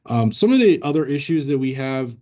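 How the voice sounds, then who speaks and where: A man explaining things informally as a workplace training presenter, in a halting, hesitant way. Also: medium pitch, big pitch swings, darkish tone, lo-fi sound.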